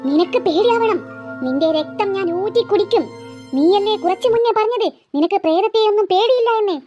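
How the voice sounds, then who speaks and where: A woman plays a bloodthirsty ghost inside a little girl. The voice is very high and childlike, yet menacing. It delivers slow, taunting threats in a comic horror cartoon style. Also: lively intonation, mellow, faint music behind. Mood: threatening. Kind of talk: comic horror skit acting.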